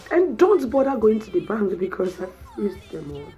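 A woman with a Nigerian accent playing a betrayed, heartbroken wife in a dramatic monologue, speaking deliberately with heavy pauses. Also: mid-range voice, big pitch swings, muffled recording, faint music behind, lightly acted. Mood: cold, resolute. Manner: deliberate, heavy pauses, swinging from hushed to anguished. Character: betrayed, heartbroken wife confronting her deceitful bigamist husband. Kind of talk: dramatic solo acting monologue.

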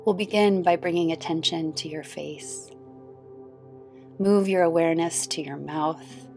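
A woman leads a guided meditation in a low voice with a noticeable creak. She speaks very slowly and gently, soothing the listener as a yoga nidra guide. Faint music runs behind her. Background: background music.

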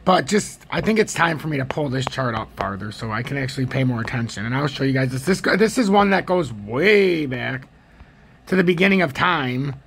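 An American man with a gravelly, husky voice giving casual, rambling, opinionated chart commentary as a trading video host. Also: medium pitch, lively intonation, normal speed, fairly bright tone, a little hiss or hum.

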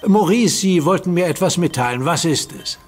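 A steady, husky young hero. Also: male voice, high-pitched, normal speed. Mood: calm firm. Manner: steady, patient and commanding. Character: calm heroic young man, firm leader. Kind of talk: radio play acting.

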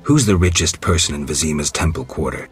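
A super deep stoic monster slayer for a fantasy game, dry and flat delivery. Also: male voice, a little fast, breathy edge, faint music behind, exaggerated voice. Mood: dry, level. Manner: deadpan, dry, terse.

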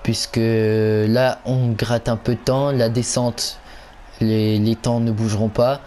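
A man's voice: medium pitch, flat delivery, slow pace, warm tone, slight background noise. A man giving casual commentary on a video game, hesitant and stumbling, often repeating himself. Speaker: gaming channel host.

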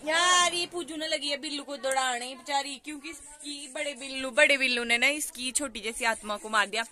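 A woman's voice: high-pitched, a little fast, pushed and bright. A young woman with a Pahari accent, vlogging outdoors on a hillside with a phone at arm's length, chatty, giggly and teasing. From Himachal Pradesh, India. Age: young adult. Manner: giggly, teasing, talks straight to viewers. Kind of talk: casual chatty vlog. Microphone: phone camera held at arm's length.

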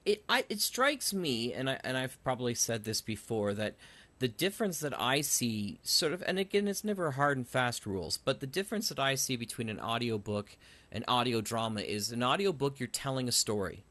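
A man's voice: mid-range voice, animated, brisk, a bit bright. Male interviewer, mid-range voice, conversational podcast host style. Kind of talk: casual interview chat.

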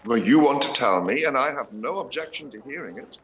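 A dry, teasing middle-aged period-drama father acted by a man, feigning indifference with lively intonation. His video call sounds roomy and clearly noisy. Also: mid-range voice, brisk, close to an everyday voice. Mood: wry.

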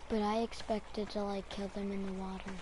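A woman with a hoarse, strained voice from a sore throat, rambling casually as she comments on her own gameplay. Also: mid-range voice, flat delivery, normal speed, background noise. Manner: rambling, talks to herself while playing. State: hoarse, recovering from strep throat. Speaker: gamer.

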